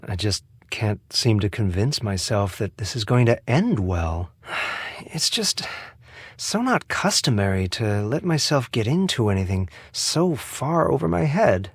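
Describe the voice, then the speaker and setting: A man's voice: fairly low pitch, sing-song, measured pace, slightly rough, no room sound, clean studio sound, full character voice. Sardonic, swooping American man. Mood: reluctant. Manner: sarcastic, snide, theatrical delivery. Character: sardonic, theatrical male spa receptionist, secretly a bomber. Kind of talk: video game character dialogue.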